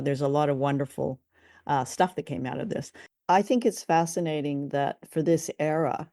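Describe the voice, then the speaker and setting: A woman's voice: sits low, creaky, warm tone, no room sound, clean studio sound. A woman co-hosting a radio show, chatting casually and conversationally as she reviews drama.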